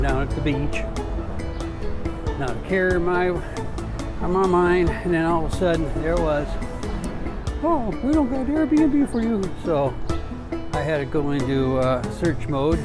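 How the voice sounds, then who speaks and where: A man chatting casually outdoors on a downtown street, telling rambling, colloquial stories as he walks along as a travel vlogger. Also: on the high side. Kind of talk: casual walk-and-talk vlog chat.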